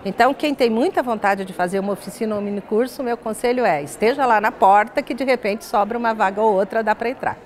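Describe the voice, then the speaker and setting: An older Brazilian woman with a somewhat high-pitched voice. She speaks as a professor in an interview, explaining step by step with small tag words along the way. She is recorded on a lapel mic indoors. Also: fast talker, few pauses. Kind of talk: studio interview, explanatory talk. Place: glass-walled indoor lounge set.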